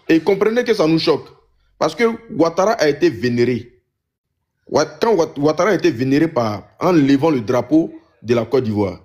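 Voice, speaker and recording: A man's voice: a little high, darkish tone. An Ivorian man with an Ivorian accent argues in an impromptu debate, insistent and repeating his phrases for emphasis. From Ivory Coast. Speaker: panel debater in a live online discussion.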